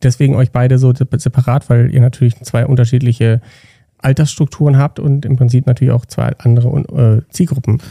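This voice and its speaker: A man hosts a podcast in a loose, chatty way, sometimes restarting his sentences. His mid-pitched voice is gravelly and very warm, recorded dry and close. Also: level tone, fairly quick, no echo. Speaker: podcast host. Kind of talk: casual podcast hosting.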